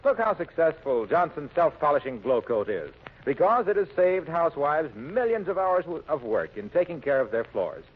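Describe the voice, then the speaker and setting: Animated American announcer on muffled old radio. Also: male voice, on the high side, normal speed, a little hiss or hum. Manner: polished salesman delivery. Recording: muffled, narrow-band 1940s radio recording.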